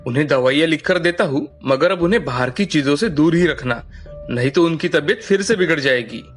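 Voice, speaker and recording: Man as stern doctor, slightly high-pitched. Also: a little fast, soft background music, natural voice. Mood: stern, concerned. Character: stern, concerned male doctor. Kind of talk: cartoon story dialogue.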